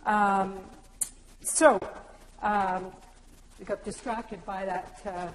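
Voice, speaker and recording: A woman's voice: medium pitch, fairly even intonation, drawn-out words, slight background noise. Woman from newfoundland giving a conference talk, explaining stuff, echoey hall sound. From Newfoundland, Canada. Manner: explanatory, joking aside with anecdotes. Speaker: conference presenter. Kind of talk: conference presentation.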